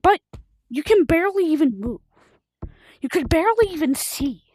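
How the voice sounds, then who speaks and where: A woman with a high voice and very lively swings in pitch, recorded close and dry. She rambles casually and excitably, like a game streamer talking to viewers in informal language. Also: moderate pace, no echo. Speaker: livestreamer of a video game. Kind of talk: rambling casual livestream chat while gaming.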